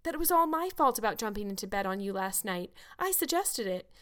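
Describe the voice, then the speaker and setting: A woman's voice: medium pitch, very animated, fairly quick, a bit bright. A woman voicing an eager, dramatic young orphan girl, earnest and wordy, in a storybook reading. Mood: earnest pleading.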